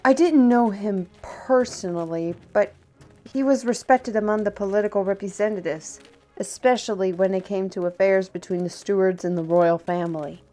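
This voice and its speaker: A woman plays a worldly female steward in a fantasy audio drama. She is chatty and wry, teasing her companions in a mid-pitched voice. Music plays faintly underneath. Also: normal speed, mellow, close to an everyday voice. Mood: reflective. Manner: chatty, teasing, addresses listeners as lass and lad. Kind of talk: fantasy audio roleplay acting. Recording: music behind the voice.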